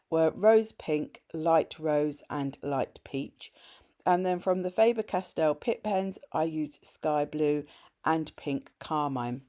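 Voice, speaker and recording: Brief: woman, middle-aged, slightly low and a bit croaky from a cold, chatty tutorial voice-over. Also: dark tone. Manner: chatty and explanatory, with small self-corrections. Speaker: art journaling creator. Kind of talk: casual craft tutorial voice-over.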